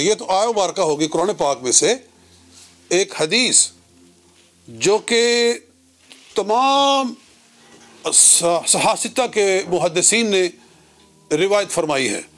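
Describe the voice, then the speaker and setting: Male Islamic cleric, notably high register. Measured, didactic religious lecture delivery, phrase by phrase. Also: very animated, fairly bright tone. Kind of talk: religious lecture citing scripture.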